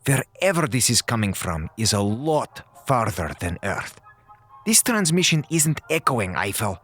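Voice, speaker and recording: A man's voice: medium pitch, very animated, unhurried, fairly bright tone, faint music behind. An actor playing a brusque, pedantic male doctor of science in an audio drama, speaking in formal, precise phrases. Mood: grave, urgent. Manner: formal, clipped, precise diction.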